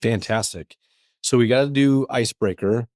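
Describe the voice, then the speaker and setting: An upbeat man hosting a workplace podcast in a relaxed, chatty way, with plenty of fillers. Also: mid-range voice, moderate pace, dry recording, studio quality. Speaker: podcast host. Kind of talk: casual podcast chat.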